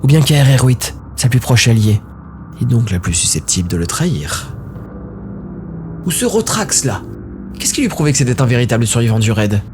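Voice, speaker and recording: A man's voice: mid-range voice, sing-song, moderate pace, pauses often, noisy background. A man narrating a scripted story dramatically, in short, brooding, suspenseful phrases. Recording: music behind the voice.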